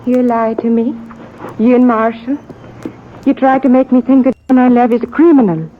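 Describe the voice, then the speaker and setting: Tinny old radio, actress as high-voiced immigrant woman. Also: slight background noise, natural voice. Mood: bitter, despairing. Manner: halting, broken English. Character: distraught, stubborn immigrant woman loyal to her man. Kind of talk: 1950s radio western acting.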